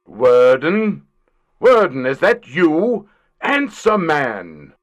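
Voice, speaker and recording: A man in an audio drama playing a gruff, condescending corporate boss, pompous and grudging in his delivery. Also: on the high side, very animated, drawn-out words, muffled recording, heavily acted. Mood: impatient.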